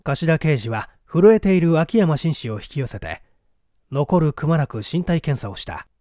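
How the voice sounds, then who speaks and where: A man's voice: a little high, sing-song, moderate pace, warm tone, no room sound, studio quality. A man narrating a mystery story from a script as an audiobook reader.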